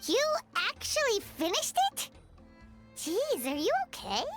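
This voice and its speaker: A tiny childlike fairy sidekick from a cartoon-style game, with an extremely high, bright voice. The melody leaps about excitedly, though the delivery takes its time. She is gluttonous, boastful and full of exclamation. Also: unhurried, faint music behind, heavily acted. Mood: incredulous. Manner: refers to self in third person, exclamatory. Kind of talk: video game cartoon voice acting.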